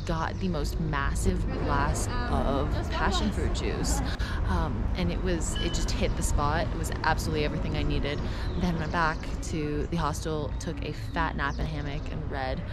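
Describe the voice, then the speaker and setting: A young woman talks casually to her camera as a travel vlogger, with music playing prominently behind her. Her voice sits a little low for a woman. She speaks informally, with slang and plenty of emphasis. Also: steady tone, moderate pace. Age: 20s.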